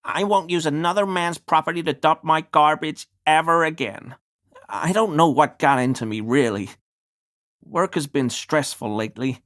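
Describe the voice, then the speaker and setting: A man's voice: medium pitch, a bit bright, lightly acted. A male actor playing a nervous, apologetic townsman in a video game, pleading and hesitant as he answers to the police. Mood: apologetic, obliging. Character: nervous, grovelling small-time bigoted townsman under police questioning. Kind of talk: scripted video game character dialogue.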